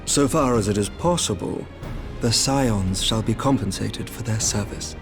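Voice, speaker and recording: An actor playing a shrewd, composed middle-aged knight commander who speaks formally and measuredly in archaic diction. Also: male voice, fairly low pitch, measured pace, music in the background. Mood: calm, diplomatic. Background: orchestral game score.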